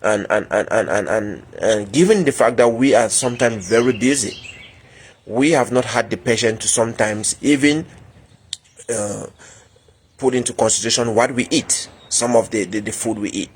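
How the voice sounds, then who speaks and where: A man's voice: fairly low pitch, normal speed, a little hiss or hum. A middle-aged man, a medical instructor, giving a health talk with many fillers and repeated words. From Cameroon.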